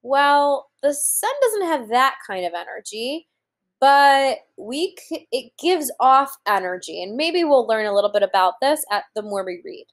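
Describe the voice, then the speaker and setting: A woman in her thirties reading aloud to young children as a teacher, pausing to explain things simply and deliberately. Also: high-pitched, sing-song. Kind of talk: children's read-aloud lesson with explanatory asides. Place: room at home, indoors.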